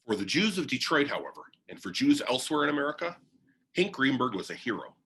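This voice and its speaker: Bright-voiced man reading aloud, lively pitch. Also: quick pace, a little hiss or hum. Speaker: reader of a biography. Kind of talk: read-aloud biography narration.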